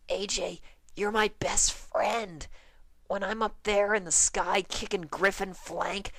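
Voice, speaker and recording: A man's voice: a little high, fairly bright tone, dry recording, pitched above the performer's own voice. A man voicing a brash, pleading young female pegasus fighter pilot in a dramatic fan fiction reading.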